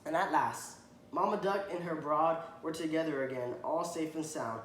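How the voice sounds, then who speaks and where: A man reading a children's picture book aloud to a child, stopping to point at the pictures and ask questions, and often clearing his throat. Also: fairly high pitch, normal speed, a bit bright. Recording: echoey room, faint background noise.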